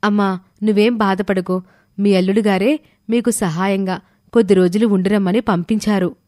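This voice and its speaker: A woman playing a caring, gentle married daughter in a dramatised moral story. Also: medium pitch, fairly even intonation, moderate pace, dry recording, studio quality, natural voice. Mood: grateful.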